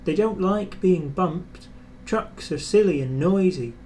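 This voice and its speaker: A man's voice: sits high, expressive, slow, a little hiss or hum, pitched above the performer's own voice. A man voicing a kindly, sensible male steam engine in a children's storybook. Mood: advising. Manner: gently advising, puffing. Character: kindly, sensible male tank or tender engine, steady helper.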